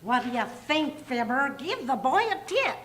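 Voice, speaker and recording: A woman's voice: sits high, very animated, moderate pace, fairly bright tone. A woman playing an affectionate, teasing housewife who fondly scolds, in old-time radio comedy style. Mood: scolding, teasing. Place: community clubhouse stage performance. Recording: echoey live room, faint noise.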